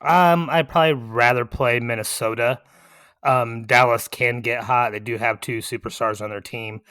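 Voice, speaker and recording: A man co-hosting a casual sports podcast, giving agreeable, measured, plainspoken answers. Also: medium pitch, steady tone, fairly bright tone, smooth, dry recording. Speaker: podcast co-host.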